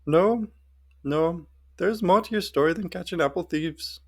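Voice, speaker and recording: A man's voice: high-pitched, unhurried, darkish tone, voice pushed up. A man voicing a tiny, wrinkled old goblin woman, sly, folksy and knowing, in a fantasy story. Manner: folksy, teasing and cryptic.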